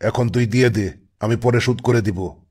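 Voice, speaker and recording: A man's voice: mid-range voice, moderate pace, a little mellow, husky, close to an everyday voice. A man voicing a bossy, irritable kidnapper boss in a comic cartoon, scolding and commanding in colloquial Bangladeshi Bengali. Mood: urgent commanding. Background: music.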